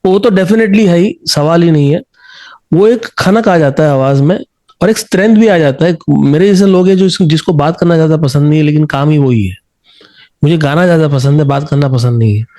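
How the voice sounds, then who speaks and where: A man's voice: fairly high pitch, a little fast, dark tone. A man with an Indian accent speaking as an encouraging singing coach in a conversational interview, mixing in English phrases.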